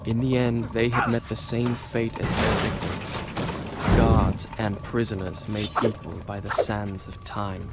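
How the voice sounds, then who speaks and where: A man's voice: lowish voice, flat delivery, measured pace, over loud music, natural voice. An actor playing a proud, earnest young Persian prince in a video game, telling his story in formal, literary phrasing. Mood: solemn, reflective. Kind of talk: video game voice acting, storytelling narration and dialogue.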